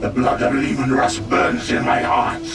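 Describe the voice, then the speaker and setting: A man's voice: medium pitch, measured pace, very noisy, lightly acted. An actor playing a proud, ferocious armored wolf lord in a video game, declaiming grandly and commanding his warriors. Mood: defiant. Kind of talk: dramatic video game voice acting. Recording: roomy, distant, under very loud music.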